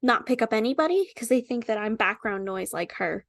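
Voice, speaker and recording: Young woman, high cartoonish voice, playful, teasing, swears freely, dry close sound. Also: lively intonation, fairly quick, creaky, no room sound. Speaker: podcast co-host. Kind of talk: casual chat. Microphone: remote call microphone.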